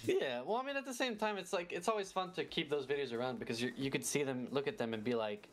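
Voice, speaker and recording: A man's voice: medium pitch, quick pace, faint music behind. Man chatting as a guest. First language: French. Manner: animated, repeats words while thinking. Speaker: guest content creator. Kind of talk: casual interview chat.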